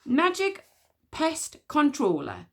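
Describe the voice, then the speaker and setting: A woman doing a haughty, shrill warrior queen. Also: wildly swooping pitch, takes it slowly, heavily acted. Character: imperious fierce queen, older woman.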